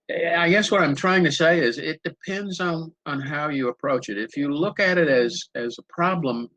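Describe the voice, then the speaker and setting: An older man moderating a panel, speaking in an unhurried anecdotal way and repeating words as he searches for them, over a video call. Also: mid-range voice, fairly bright tone, no room sound, low-quality recording. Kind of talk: conversational panel answer.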